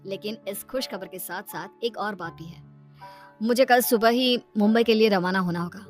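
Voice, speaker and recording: A woman's voice: mid-range voice, normal speed, faint music behind, voice pushed down, natural voice. A woman voicing an earnest working husband and devoted son in a moral story cartoon. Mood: calm, serious.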